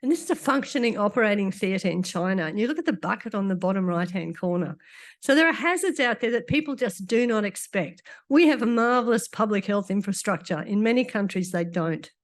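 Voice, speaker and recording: A woman doctor gives a conference lecture in a conversational way with dry asides, her voice a bit croaky after illness. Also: mid-range voice, animated, brisk. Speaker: doctor giving a conference talk.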